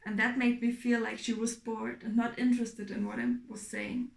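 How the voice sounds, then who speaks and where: A young woman from Germany giving a clear, explanatory class presentation as a student. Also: a little high, level tone, reverberant. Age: young adult. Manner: clear, explanatory, measured with fillers.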